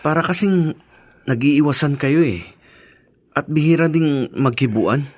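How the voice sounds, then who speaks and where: An actor playing a concerned, dutiful married son in a Filipino radio drama, respectful and troubled. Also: male voice, on the high side, a little slow, dry recording. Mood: gently probing.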